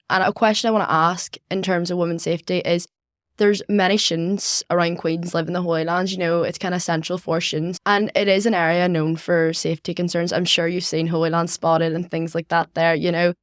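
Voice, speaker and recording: A woman's voice: fairly low pitch, fairly even intonation, a little fast, smooth, no room sound, studio quality. A young woman with a Northern Irish accent interviewing guests conversationally as a podcast host.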